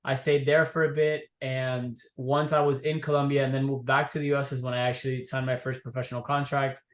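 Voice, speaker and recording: A young man from Miami, a guest entrepreneur, telling his story in a relaxed, easygoing way. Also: mid-range voice, brisk, echoey room. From Miami, USA. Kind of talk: casual interview.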